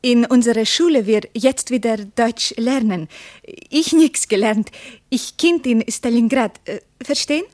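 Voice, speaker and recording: A woman's voice: fairly high pitch, unhurried, darkish tone, natural voice. A woman playing an apologetic Russian interpreter who struggles through broken German with a Russian accent in a radio play. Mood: apologetic, halting.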